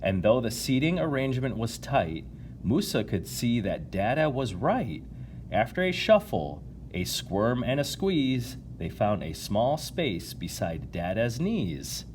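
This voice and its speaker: Man, children's read-aloud, very lively pitch, unhurried, some background noise. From United States, Illinois. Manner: animated reading, addressing young listeners. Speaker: chiropractor reading a picture book to children. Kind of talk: storybook read-aloud with casual asides.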